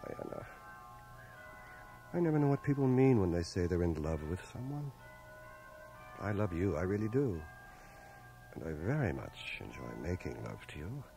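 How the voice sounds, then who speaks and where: Bookish young vet in a radio play, low mellow voice, kind of hesitant. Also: male voice, sing-song, vocal fry, heavily acted. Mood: hesitant, careful. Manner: measured, hesitant, intellectual. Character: earnest, reserved young Canadian war veteran, bookish and self-doubting. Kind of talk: 1980 radio drama acting and first-person narration. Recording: cassette transfer of radio drama, faint music.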